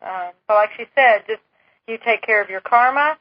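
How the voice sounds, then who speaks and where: A woman with a fairly high voice talks matter-of-factly as co-host, and she is quick to agree with the others. The sound is a muffled, dull radio broadcast. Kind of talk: casual radio talk.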